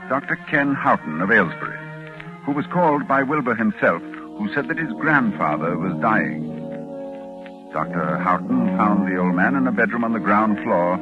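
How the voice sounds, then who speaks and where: An actor playing a grave, learned doctor who narrates in formal, ominous 1940s radio style. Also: male voice, lowish voice. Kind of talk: 1940s radio acting, dramatic scripted narration. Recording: muffled, narrow vintage 1940s radio, music.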